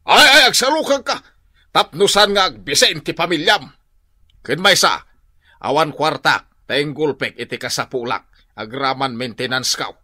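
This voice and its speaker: A man's voice: on the high side, expressive, normal speed, bright, forceful tone, heavily acted. An actor playing a stern, scolding elderly father-in-law in a radio drama, with an Ilocano accent. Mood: angry lecturing. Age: older. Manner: scolding, exasperated.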